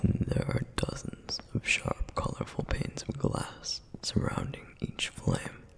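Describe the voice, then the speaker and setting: A man voicing a duty-bound, protective flame demon in an intimate ASMR fantasy roleplay, speaking in a breathy, gravelly whisper with slow, deliberate pauses. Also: fairly bright tone. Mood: quiet wonder.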